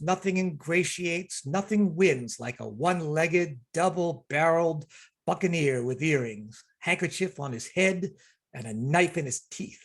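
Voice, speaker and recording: A man acting as director and announcer, introducing and narrating a radio play reading over a video call. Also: fairly high pitch. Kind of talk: spoken introduction and scripted narration. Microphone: video call microphone.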